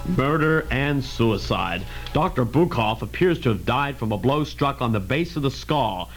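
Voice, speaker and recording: Gravelly, matter-of-fact police lieutenant played by a man. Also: mid-range voice, animated, unhurried, faint music behind. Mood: matter-of-fact, probing. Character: weary, matter-of-fact male police lieutenant investigating deaths. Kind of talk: amateur radio drama.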